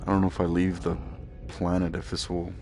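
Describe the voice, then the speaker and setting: A man's voice: sits low, monotone, fairly quick, darkish tone, faint music behind. A man giving casual live commentary on a game, laid-back and mostly talking to himself, muttering along as he goes. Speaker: gaming streamer.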